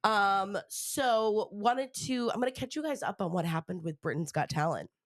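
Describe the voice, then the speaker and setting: A woman's voice: mid-range voice, expressive, normal speed, dry recording, clean studio sound. A woman hosting a podcast with a stuffy nose from a cold, chatting casually with lots of fillers. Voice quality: nasal. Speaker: podcast host. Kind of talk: casual chat.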